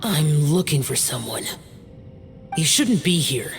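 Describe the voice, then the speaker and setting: An aloof young male demon slayer voiced by a woman speaks tersely in a low, brooding voice. The game dialogue rings with long reverb over clear music. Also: sing-song, slow, full character voice. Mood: stern, dismissive. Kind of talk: video game cutscene acting.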